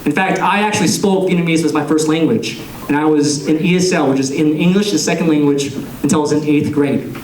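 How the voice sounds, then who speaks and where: Young male candidate, earnest and measured, slightly higher voice, recorded from a distance. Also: darkish tone, slight background noise. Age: young adult. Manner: earnest, measured, pausing between phrases. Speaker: political candidate. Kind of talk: campaign speech. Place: large room with an audience. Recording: echoey room, captured from a distance.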